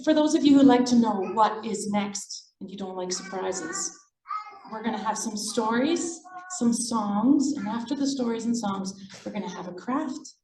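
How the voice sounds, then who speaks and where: A woman's voice: on the high side, dark tone, slight background noise. A woman hosting a live children's storytime and sing-along, chatty and playful as she gives the audience instructions. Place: echoey indoor room at a library event. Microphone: event microphone. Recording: echoey room, music behind.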